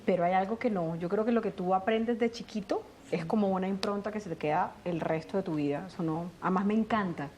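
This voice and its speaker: A middle-aged Colombian woman chatting as an interview guest, telling stories with lively asides and acted-out bits of dialogue. Her pitch sits in the middle of the range for a woman. A faint noise hangs behind her voice. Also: fast talker, hardly any pauses. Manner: animated storytelling, acts out family dialogue. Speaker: guest, actress. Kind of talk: conversational interview.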